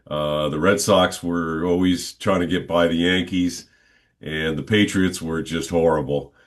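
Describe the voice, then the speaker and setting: Give me a man in his sixties with a very deep, low voice, reminiscing at an easy pace as a podcast guest. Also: fairly even intonation, a little slow. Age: 60s. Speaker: interview guest, stadium announcer. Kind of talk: relaxed reminiscing conversation.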